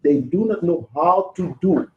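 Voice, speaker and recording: A man from Haiti, talking in a halting way with many fillers and restarts. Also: on the high side, expressive. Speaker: panel participant. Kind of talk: hesitant conversational English. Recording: roomy, slightly dull audio.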